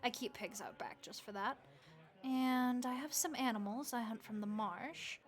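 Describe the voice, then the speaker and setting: A woman voicing a friendly, motherly vampire innkeeper who speaks gently and reassuringly to the listener in an audio roleplay. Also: a little high, moderate pace, natural voice. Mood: hospitable, chatty.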